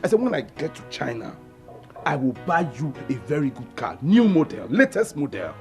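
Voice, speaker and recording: A woman's voice: deep voice, big pitch swings, normal speed, faint music behind. A woman with a Nigerian accent playing a boastful young husband who promises his wife gifts. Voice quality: teasing, swaggering.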